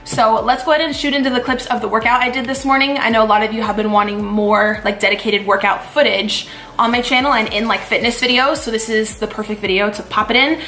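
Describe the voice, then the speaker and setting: A woman's voice: a little high, bright, forceful tone. A young adult woman chatting casually as a lifestyle vlogger, upbeat and full of "like" and "you guys". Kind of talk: casual try-on and workout vlog narration.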